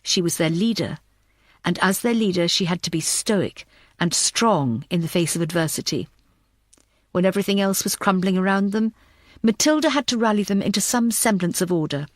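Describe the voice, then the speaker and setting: A woman's voice: medium pitch, moderate pace, dry recording. Woman narrating a thriller audiobook, dry recording.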